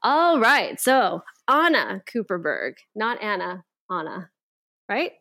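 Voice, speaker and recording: A woman hosting a podcast interview, chatty and quick to laugh. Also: mid-range voice, very animated, sharp tone. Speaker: podcast host. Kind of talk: casual interview chat.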